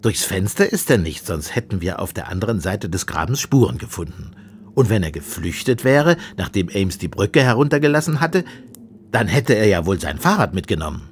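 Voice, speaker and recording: A man's voice: lowish voice, very animated, moderate pace, a little hiss or hum. An actor playing a sarcastic, combative male police inspector in a German radio play. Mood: skeptical. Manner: sarcastic, indignant.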